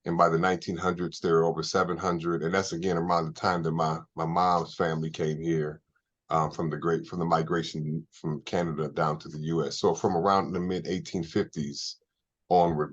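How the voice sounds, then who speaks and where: A man's voice: extremely low pitch, little pitch movement, moderate pace, lo-fi sound. A middle-aged American man giving conversational, explanatory answers as an interview guest. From Evanston, Illinois, United States.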